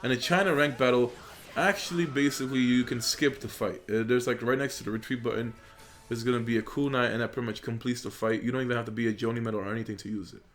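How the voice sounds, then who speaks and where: A man's voice: mid-range voice, fast talker, hardly any pauses. A young man, a gaming YouTuber who grew up in Poland and speaks Polish natively, giving casual, rambling commentary over gameplay into a desk microphone, with plenty of fillers. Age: young adult. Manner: rambling, filler-heavy, relaxed. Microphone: USB desk microphone.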